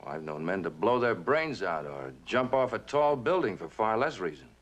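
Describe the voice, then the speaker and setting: A smooth corporate right-hand man in an old TV drama. His low voice moves widely in pitch, polished on top, with condescension and quiet menace underneath. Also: sing-song, moderate pace, dull audio. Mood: veiled threat. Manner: polished, controlled, veiled menace. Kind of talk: mid-century American television drama acting.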